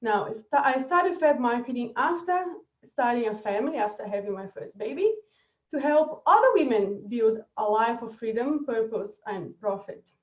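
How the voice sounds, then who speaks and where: A woman from Brazil with a Brazilian accent, giving an energetic webinar presentation and laughing often. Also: fairly high pitch, normal speed, far from the mic. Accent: Brazilian Portuguese. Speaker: marketing presenter. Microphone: video call. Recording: echoey dull video call audio.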